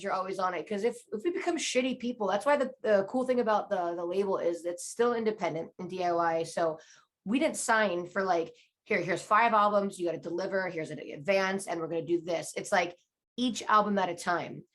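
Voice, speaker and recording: Low-voiced woman chatting candidly. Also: a little fast. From Southern California. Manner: rambling, candid, lots of fillers. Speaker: band singer, guest. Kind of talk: casual interview chat.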